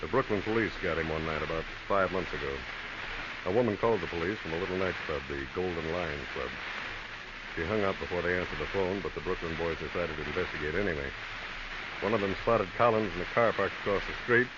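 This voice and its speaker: An actor playing a low-key, tactful private detective in an understated, hesitant 1940s radio drama style. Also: male voice, fast talker, natural voice. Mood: matter-of-fact. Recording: muffled, noisy old radio recording.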